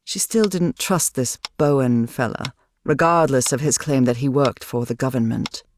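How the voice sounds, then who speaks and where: An actress playing a wry, knowing woman from beyond the grave who narrates a video game story in a measured way. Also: very deep voice, a little mellow, a little hiss or hum. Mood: reflective. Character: dead wife of a professor, wry knowing female narrator.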